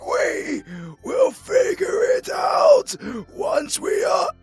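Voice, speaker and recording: Gravelly, anguished British zombie husband. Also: male voice, very animated, no room sound, faint music behind, exaggerated voice. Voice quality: gravelly, strained monster rasp. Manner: slow, halting, tearful, swooping between shouts and low groans. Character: anguished undead zombie husband, loving and desperate. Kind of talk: horror audio roleplay acting.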